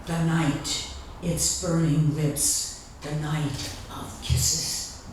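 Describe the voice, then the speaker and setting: A woman giving a dramatic literary reading of her own writing, theatrical and deliberate, with long pauses between phrases. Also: low voice, flat delivery. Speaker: author reading from own memoir. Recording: echoey room, distant mic, faint background noise.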